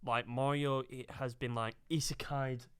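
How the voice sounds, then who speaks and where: A man's voice: mid-range voice, little pitch movement, unhurried, fairly bright tone, clean tone, no echo, studio quality. A man co-hosting a casual chat about video games and films, rambling and repeating phrases as he goes. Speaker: co-host of a video game discussion show.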